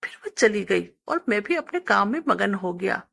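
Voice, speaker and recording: Woman narrating with lively, swinging pitch. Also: normal speed, creaky. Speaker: story narrator. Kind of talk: scripted first-person story narration with acted dialogue.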